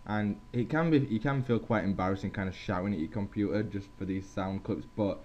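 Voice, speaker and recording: A young man casually talks viewers through a software tutorial. His voice is lowish and very mellow. It is recorded on a muffled headset mic with faint hiss. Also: brisk. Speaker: video tutorial host. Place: at a computer. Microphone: gaming headset.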